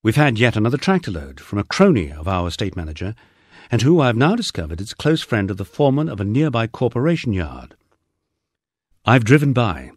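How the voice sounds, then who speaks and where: A man's voice: medium pitch, darkish tone, slightly rough, no room sound, studio quality. A man narrating a scripted first-person memoir as an audiobook, in a wry storytelling manner.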